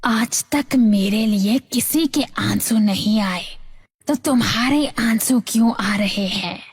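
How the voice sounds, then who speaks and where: A woman voicing an eerie but kind-hearted witch in a children's cartoon story, sorrowful and breathy, with drawn-out words. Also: fairly high pitch, no echo, full character voice. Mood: puzzled.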